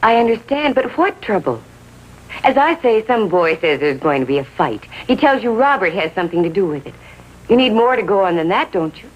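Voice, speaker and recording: A woman's voice: mid-range voice, very animated, fairly quick, husky, dull audio. A woman playing a middle-aged American mother in a 1950s TV drama, genteel, earnest and insistently defensive. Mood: politely defensive. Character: defensive, trusting, genteel mother of a teenage boy.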